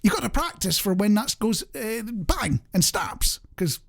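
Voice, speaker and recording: A man's voice: high voice, very animated, a little slow, creaky. A man with a British accent presenting a radio show in a casual, rambling, conversational and self-deprecating way.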